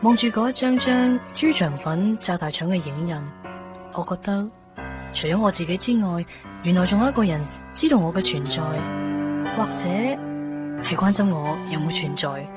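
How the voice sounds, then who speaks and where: An actress playing a melancholy young woman narrating her lonely thoughts in a radio drama, reflective and measured, with a Hong Kong Cantonese accent. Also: lowish voice, lively intonation, natural voice. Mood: wistful. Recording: muffled radio broadcast with guitar music.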